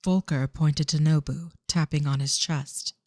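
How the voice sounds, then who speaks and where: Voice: mid-range voice, fairly even intonation, measured pace, no echo, clean studio sound. A narrator reading scripted storytelling for an audio drama.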